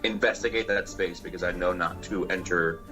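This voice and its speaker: A man's voice: sits low, fairly even intonation, fairly quick, muffled recording, over music. A man playing a tabletop roleplaying game, talking casually and methodically through his character's moves. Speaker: player.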